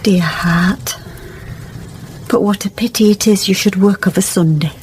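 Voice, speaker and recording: A woman's voice: mid-range voice, a little slow, darkish tone, slight background noise, lightly acted. A woman playing a kindly, pious village wife in a radio drama, chatty and earnest, with a rural English accent. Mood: earnest, coaxing. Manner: chatty, homely, earnestly persuasive.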